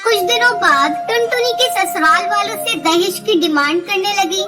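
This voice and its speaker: High-voiced woman narrating a children's story. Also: normal speed. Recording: loud music under narration.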